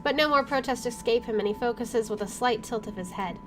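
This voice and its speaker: Girl doing a lets play, reads out story n reacts casually, highish voice, some music under it. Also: a little fast. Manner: informal, reactive. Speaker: gaming video host. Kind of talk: playthrough commentary and reading game narration aloud. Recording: music behind the voice. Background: game music.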